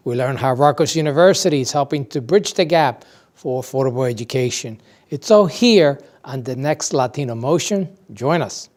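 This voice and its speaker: A man's voice: medium pitch, animated, moderate pace, darkish tone. A man in his sixties speaking as a talk show host, formally introducing and interviewing in a TV studio. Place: indoor TV studio.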